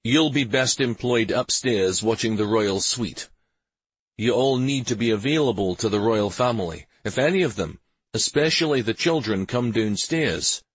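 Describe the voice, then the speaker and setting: A man acting out a brisk fantasy warrior officer in an audiobook, stern and protective. The delivery is firm and animated, with some huskiness. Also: fairly low pitch, husky, no room sound, pitched above the performer's own voice. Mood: brisk, commanding.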